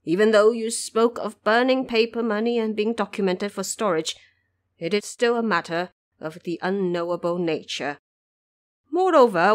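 A woman's voice: medium pitch, close to an everyday voice. A woman voicing a poor, humble old man who speaks fearfully but stubbornly refuses, in an audio drama.

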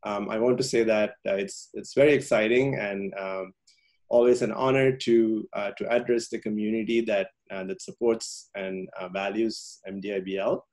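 A man's voice: fairly low pitch, fairly even intonation, normal speed, a little mellow. A man from Sri Lanka giving a public science talk over a video call, with many ums and uhs and frequent restarts.

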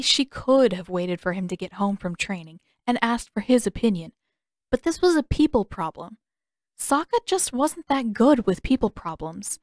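High-voiced woman narrating a story. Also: no room sound, clean studio sound. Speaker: podfic narrator. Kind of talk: scripted audiobook narration of fan fiction.

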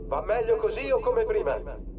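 A man's voice: high voice, very animated, moderate pace. A male actor playing an attentive, methodical military systems technician in a video game, speaking with respectful procedural formality. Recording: dull band-limited sound, clearly noisy background.

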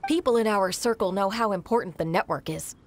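A woman's voice: medium pitch, a little fast, a little hiss or hum, lightly acted. Game informant played by a woman: young, businesslike yet earnest, middle register, slight background ambience. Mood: grateful, relieved. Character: young female underworld informant, grateful, frank, businesslike. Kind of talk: video game voice acting.

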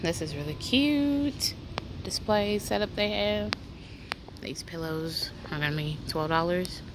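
Low-voiced woman narrating a store walk-through. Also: animated, measured pace. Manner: reads out prices, chatty and practical. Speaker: shopping vlogger. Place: large indoor retail store. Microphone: handheld phone camera. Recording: store ambience noise behind. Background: store ambience.

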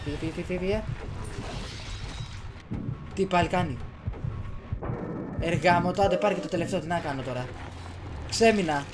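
A man's voice: high-pitched, pauses often, background noise. A young man with a Greek accent giving casual video game commentary, muttering and exclaiming to himself, recorded at home on a desk microphone. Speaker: gaming streamer. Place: small room at home. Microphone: desktop USB microphone. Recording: game music behind the voice.

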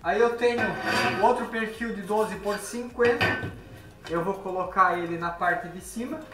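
A man's voice: high voice, unhurried. A man in his thirties from Brazil, demonstrating a job in a large workshop while he works, explaining plainly with frequent tag questions. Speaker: window installer. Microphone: phone camera nearby. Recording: echoey workshop with background music.

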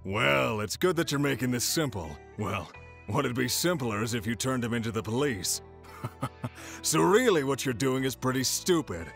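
Male actor as gruff panda mentor, gravelly, forceful, blunt and brash, manga dub, music behind. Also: medium pitch, animated, normal speed. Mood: amused, blunt.

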